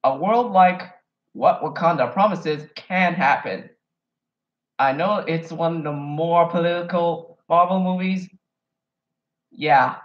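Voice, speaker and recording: A man's voice: on the high side, takes it slowly, long pauses. A man from Kenya talking casually and enthusiastically to the camera, rambling and wandering off his point now and then. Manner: enthusiastic fan, emphatic. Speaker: vlogger. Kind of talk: casual movie review monologue. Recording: echoey room, dull lo-fi sound.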